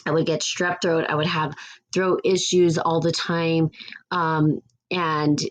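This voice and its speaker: Low raspy woman hosting a podcast. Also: little pitch movement, slow pace. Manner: rambling and reflective, with frequent restarts. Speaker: podcast host. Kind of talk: casual spiritual podcast talk.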